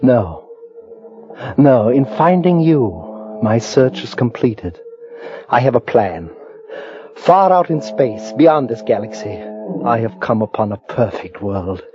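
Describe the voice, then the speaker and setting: An actor playing a powerful, lovestruck alien man in old radio drama style, speaking in a formal, measured, declamatory way. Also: medium pitch, very animated. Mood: lofty, persuasive. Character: grave, ardent alien man with great powers, courting a human woman. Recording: muffled vintage radio recording, music behind.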